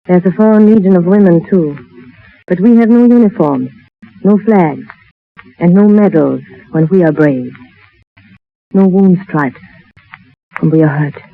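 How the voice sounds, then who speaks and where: An actress playing an aloof, world-weary woman cabaret singer in a 1930s radio drama, speaking in a guarded, unhurried way. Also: on the low side, slight background noise. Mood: weary, wistful. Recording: muffled vintage 1930s radio broadcast.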